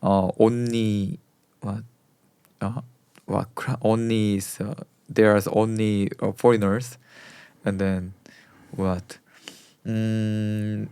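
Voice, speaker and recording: Interview guest: Korean-accented man, slightly low voice, hesitant non-native English, frequent laughter. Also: little pitch movement, mellow, clean tone, no echo. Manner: halting, searching for English words, laughs often. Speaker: medical checkup center manager, guest. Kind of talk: casual interview chat.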